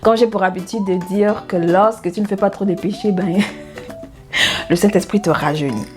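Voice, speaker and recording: A woman's voice: medium pitch, normal speed, faint music behind. A woman in her thirties from Gabon, introducing herself in a casual faith vlog, cheerful, laughing often and saying amen. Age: 30s.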